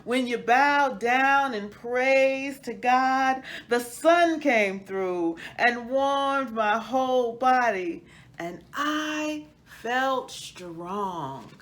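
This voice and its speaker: A woman's voice: a little high, expressive, very slow, a bit bright, voice pushed up, full character voice. A woman voicing a young, joyful and grateful little-sister tree in a children's storybook, speaking emphatically. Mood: earnest, delighted.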